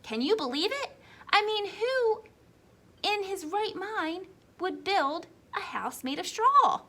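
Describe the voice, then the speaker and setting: A woman's voice: very high voice, sing-song, slow, bright, forceful tone, voice pushed up, heavily acted. A woman voicing a male wolf in a children's storybook, chatty and emphatic, telling his side and protesting his innocence. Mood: indignant, confiding. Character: male wolf storyteller, aggrieved, folksy, self-excusing. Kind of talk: children's storybook read-aloud.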